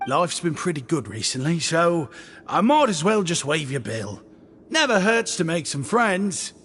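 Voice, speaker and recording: An easygoing shopkeeper in a game, with a lightly high-pitched male voice. He is breezy, courteous and flattering, with lively intonation over faint music. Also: unhurried. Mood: generous, persuasive. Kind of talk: video game character voice acting.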